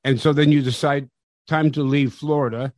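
A man hosts a podcast interview, his voice sitting at a middle pitch. He often stops and restarts mid-phrase while asking questions. The sound is dry and close. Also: steady tone, a little mellow, no echo. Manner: halting, repeats words. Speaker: podcast host.

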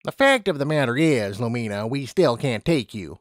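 A man's voice: medium pitch, sing-song, normal speed, no echo, studio quality, close to an everyday voice. A man voicing a stern, disdainful aging female unicorn personnel officer in a storybook reading, formal and condescending. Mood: matter-of-fact.

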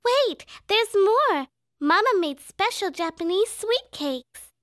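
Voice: very high-pitched, big pitch swings, slow pace, fairly bright tone, no echo, clean studio sound, heavily acted. A performer voicing a polite, earnest little kindergarten girl in a children's cartoon, sweet and careful in manner. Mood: concerned.

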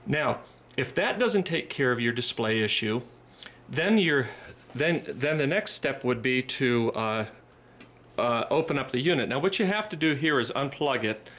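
A man giving an informal, methodical hands-on repair tutorial, speaking haltingly and repeating phrases as he goes. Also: medium pitch, animated, fairly bright tone.

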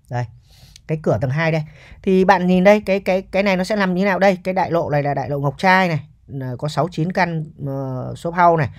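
A man giving a casual, chatty property walkthrough as a real estate agent, often ending points with a checking question. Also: a little high.

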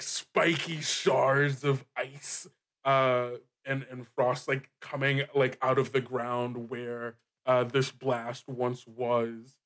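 A man improvising narration as a game master, casual and halting, with lots of filler words. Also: medium pitch, fairly bright tone. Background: music bed.

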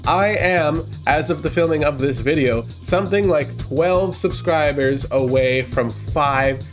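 A man's voice: a little high, a little slow, soft background music. A young man with a stuffed-up, congested voice hosting a chatty reaction video from a small room on a headset mic. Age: young adult. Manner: chatty, hyped, rambling. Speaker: reaction channel host. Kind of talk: casual reaction commentary.

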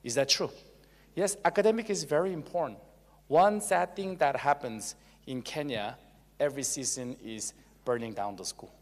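A man's voice: medium pitch, moderate pace. A man from South Korea with a Korean accent giving a motivational lecture to a live audience, pushing his voice up for emphatic calls to the crowd, with many fillers. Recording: long reverb of a large hall.

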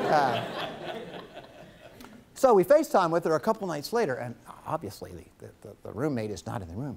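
A man in his fifties giving a lively guest lecture to college students in a classroom, teasing his audience as he talks into a lapel mic. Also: medium pitch. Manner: animated, gestures widely, teasing the audience.

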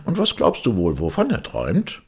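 A smug, scornful storybook twin, put on by a male reader. He sounds triumphant and contemptuous, with a gravelly, husky voice and very lively intonation. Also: lowish voice, normal speed, full character voice.

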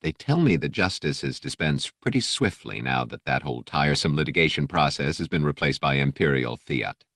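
A man's voice: extremely low pitch, lively intonation, brisk, hardly any pauses, fairly bright tone, dry recording, clean studio sound, exaggerated voice. A man voicing a stocky space smuggler in an audiobook, polite and well-spoken, with a pleasant, coolly amiable tone. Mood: amiable, smug. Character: genteel, amiable, stocky male smuggler bounty hunter.

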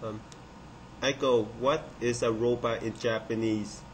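Male developer presenting a demo, halting and unscripted, medium pitch, some background noise. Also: steady tone. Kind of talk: software demonstration. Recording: clearly noisy room recording.

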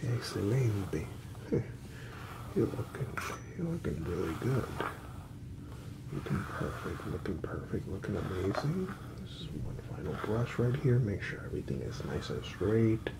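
Male ASMR hairdresser: hushed whisper and murmur, crackly low end, unhurried, soothing, noisy background. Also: sing-song. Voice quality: soft, breathy whisper and murmur. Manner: soothing, reassuring, gentle. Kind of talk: ASMR roleplay, soft whispering. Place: small indoor room set up as a salon.

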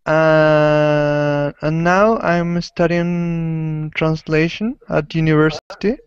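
Slow, hesitant man on a call. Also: fairly high pitch, fairly even intonation, smooth, no echo. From Chile. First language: Spanish. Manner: hesitant, searching for words. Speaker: translation student. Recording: dull, dry video call audio.

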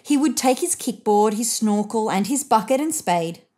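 A woman reads a children's storybook aloud. Her narration is lively and expressive, with a widely moving pitch and deliberate stress on phrases. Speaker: picture book reader.

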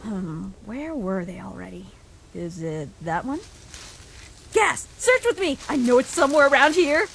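A woman voicing a brash, mocking young punk adventurer in an animated comic dub, with a cocky, sarcastic delivery. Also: a little high, measured pace, vocal fry, noisy background. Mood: eager. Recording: music behind the voice.

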